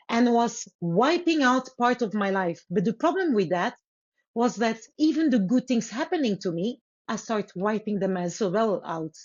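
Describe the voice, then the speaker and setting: A woman from Congo whose first language is Lingala, telling her life story as a guest author in an earnest, unhurried way with pauses and restarts. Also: fairly high pitch, darkish tone. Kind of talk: reflective interview storytelling. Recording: slightly muffled audio.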